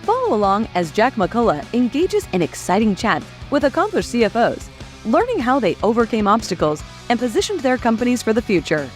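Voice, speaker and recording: A woman's voice: on the high side, sing-song, fairly quick. A woman announcer reading a scripted promotional introduction for a podcast. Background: music.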